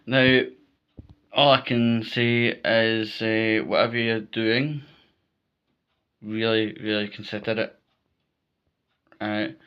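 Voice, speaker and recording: Low, even-pitched Scottish man, emphatic. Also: slow pace, pauses often, smooth, highs cut off. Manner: emphatic, repetitive, checks in with "right?". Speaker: vlogger giving storm safety advice. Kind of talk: informal spoken advice to viewers.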